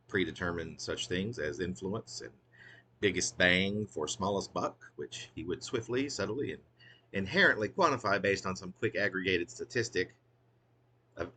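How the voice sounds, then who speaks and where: Theatrical low-voiced man reading his poem. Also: bright, forceful tone, a little hiss or hum. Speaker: poet reading own work. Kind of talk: wry literary poem reading.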